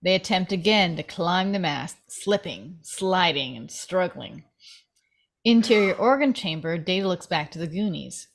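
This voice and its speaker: A woman's voice: lowish voice, expressive, a little slow, slight background noise. A woman reading stage directions as narrator in a scripted table read.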